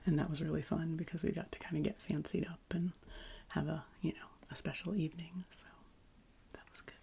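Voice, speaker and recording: A woman with a deep, husky voice chats gently as an ASMR host, soft-spoken and often whispering. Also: flat delivery, a little hiss or hum. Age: adult, past college. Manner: slow, gentle, soothing delivery.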